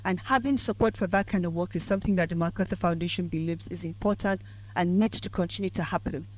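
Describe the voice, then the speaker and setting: A woman from Nigeria with a croaky, hoarse voice, giving a formal lecture speech in a measured, emphatic way. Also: lowish voice, low-quality recording. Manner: measured and emphatic, building to points. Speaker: guest speaker at a public lecture. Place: lecture event.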